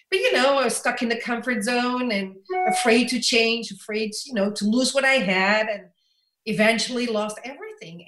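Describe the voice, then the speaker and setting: A woman's voice: a little high, a bit bright. A Belgian woman whose first language is Dutch, an author and coach telling her story in a reflective interview and laughing often. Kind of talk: conversational interview. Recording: echoey room.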